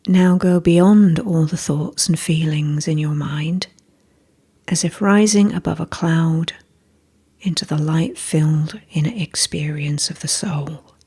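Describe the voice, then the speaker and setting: A woman with a soft, breathy, husky voice calmly narrating a scripted guided meditation in a gentle, measured way. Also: sits low.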